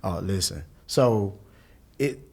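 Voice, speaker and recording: Brief: man, middle-aged, low mellow speaking voice, chatty and animated, acts out quotes. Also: takes it slowly, creaky, breathy edge. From Louisville, Kentucky. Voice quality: mellowed former high singing voice. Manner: animated storyteller, quoting others with voiced impressions. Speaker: guest musician. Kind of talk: casual conversation.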